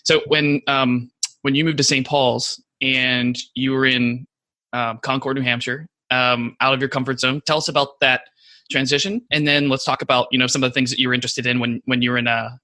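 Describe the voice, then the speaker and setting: A man hosting a podcast, interviewing his guest with quick, encouraging affirmations. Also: medium pitch, flat delivery, sharp tone, no echo. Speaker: podcast host.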